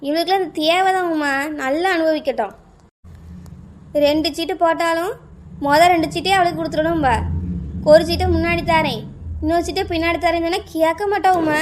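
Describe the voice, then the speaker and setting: A woman's voice: extremely high pitch, fairly even intonation, brisk, long pauses, a little hiss or hum. A woman playing a spiteful, gossipy village neighbour in a comic cartoon, carping in a southern Tamil Nadu accent. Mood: spiteful gossip.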